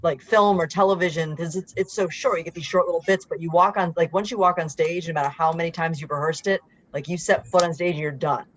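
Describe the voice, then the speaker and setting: A woman's voice: on the low side, steady tone, fast, few pauses, highs cut off. A woman who acts on stage, chatting casually and telling stories emphatically. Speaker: stage actress. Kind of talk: casual chat.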